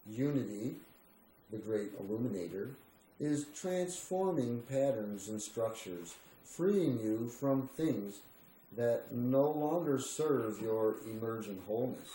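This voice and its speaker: A man giving a measured, solemn reading of a spiritual text, with deliberate pauses between short phrases. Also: medium pitch, warm tone. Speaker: reader. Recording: roomy echo with faint background noise.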